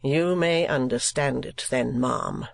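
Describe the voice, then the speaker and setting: A woman voicing a blunt, stern gentleman in a storybook reading, speaking in a clipped, deliberate way. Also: very deep voice, gravelly, heavily acted.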